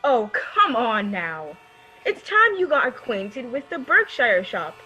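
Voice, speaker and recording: A woman playing an effusive, pushy high-society friend in an old-time radio drama, theatrical and insistent. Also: sing-song, takes it slowly, bright, forceful tone, a little hiss or hum, heavily acted, low-quality recording. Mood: insistent, coaxing.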